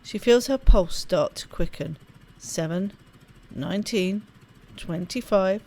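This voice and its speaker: A woman reading a game's story text aloud in an expressive storybook style, with offhand asides as she plays. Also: medium pitch, slow. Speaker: let's play host. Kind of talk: reading game narration aloud.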